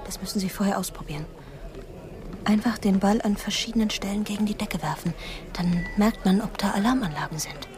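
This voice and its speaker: An actress playing a devoted, anxious and defiant psychologist in a radio drama, urgent and often breathy. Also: female voice, medium pitch, fairly quick, background noise, natural voice. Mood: secretive, anxious.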